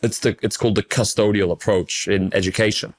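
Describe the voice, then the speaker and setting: An English man with a fairly low voice talks through his coaching views in a relaxed interview. He speaks fluently and with emphasis, doubling words when he wants to stress a point. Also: a little fast. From England. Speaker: basketball coach, interview guest. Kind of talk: casual conversational interview.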